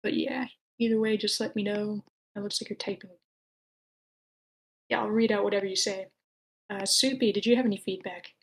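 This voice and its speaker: A woman with a mid-pitched voice hosts an online meeting. She moderates in a casual, conversational style through her meeting mic. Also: fairly even intonation, moderate pace, pauses often, husky. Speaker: host moderating feedback session. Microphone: online meeting microphone.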